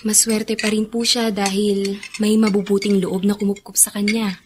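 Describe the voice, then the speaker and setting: A woman playing a gentle, humble, polite teenage heroine in a Filipino radio drama. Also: mid-range voice, little pitch movement, normal speed, noisy background, natural voice. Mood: gentle, curious. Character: gentle, humble, polite seventeen-year-old girl, wronged heroine.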